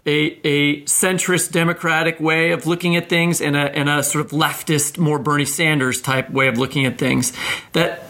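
A man's voice: on the high side, flat delivery, moderate pace, vocal fry, sharp tone. A man speaks as a podcast host in casual discussion. His talk rambles along in loose, conversational turns, and he often looks for agreement from the others.

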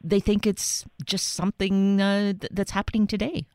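A woman's voice: medium pitch, level tone, moderate pace, darkish tone, no echo. A woman radio host asking meandering, appreciative questions. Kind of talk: radio interview.

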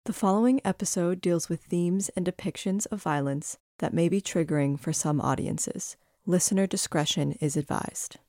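A woman reads a scripted announcement. Her voice sits slightly below average in pitch, in the role of a programme announcer. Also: normal speed, few pauses, a little mellow, no echo, clean studio sound.

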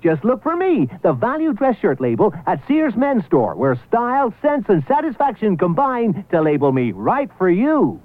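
A man's voice: high-pitched, huge pitch swings, normal speed, few pauses, no echo. A man voicing a proud, perky talking shirt label in a vintage radio commercial. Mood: proud, eager. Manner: perky, boastful, salesy. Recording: dry tinny vintage radio broadcast.

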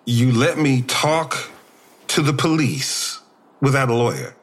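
An actor playing a groggy, injured, uneasy man in an audio drama, halting and husky. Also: mid-range voice. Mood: alarmed. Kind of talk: naturalistic audio drama dialogue.

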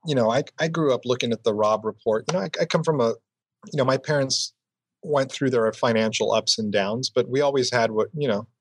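A man being interviewed about his business career, talking reflectively and filling pauses with "you know.". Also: medium pitch, slightly rough, no room sound. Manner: reflective, repeats "you know" often, restarts phrases. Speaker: entrepreneur guest. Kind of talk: casual interview answers.

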